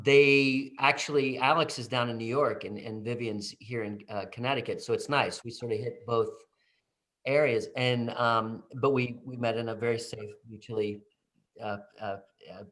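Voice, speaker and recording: A man's voice: mid-range voice, steady tone, moderate pace, a bit bright. A man who directed a play, speaking in a casual video-call talkback, rambling with many restarts and fillers.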